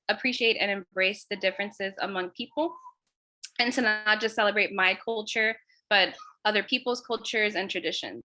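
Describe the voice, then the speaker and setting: A woman's voice: medium pitch, bright, forceful tone, rough recording. A woman from Los Angeles, a resident artist, giving an earnest prepared presentation of her community project.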